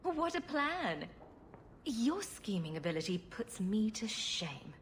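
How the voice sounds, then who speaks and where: Game heroine: quick-witted rogue, very animated mid voice, sarcastic and playful, slight noise behind. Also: female voice, mid-range voice. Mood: playful sarcasm. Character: witty, sarcastic female rogue adventurer. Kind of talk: dramatic video game acting.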